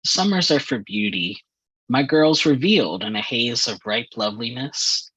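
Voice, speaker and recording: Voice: medium pitch, expressive, normal speed, a bit bright, breathy edge, dry recording, rough recording. A person giving a dramatic reading of persona poetry.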